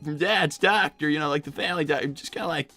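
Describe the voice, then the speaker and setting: High, cracking, flustered young fox. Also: male voice, fast talker, bright, forceful tone, faint music behind. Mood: reluctant placating. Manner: stammering, flustered, easily exasperated. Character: flustered, defensive young fox boy Tails, cartoon big brother. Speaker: livestream co-host in character. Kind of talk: improvised character comedy livestream.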